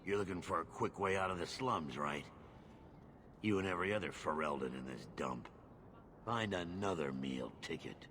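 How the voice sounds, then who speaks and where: Irritable dwarf merchant, fantasy game, very low voice, swooping contemptuous bluster. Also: male voice, lively intonation, normal speed, a bit bright, a little hiss or hum. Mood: irritated, dismissive. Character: gruff, irritable, dismissive male dwarf merchant.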